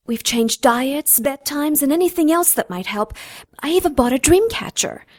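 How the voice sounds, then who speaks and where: A woman's voice: high-pitched, expressive, moderate pace, darkish tone, no echo, clean studio sound. A woman playing a worried, protective single mother, speaking politely and earnestly in a radio drama. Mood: troubled.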